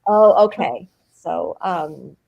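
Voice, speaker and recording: A woman's voice: lowish voice, slow pace, creaky, highs cut off. A woman, an actor, talking animatedly in a live-stream interview and filling her speech with "like". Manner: animated, uses many "like" fillers.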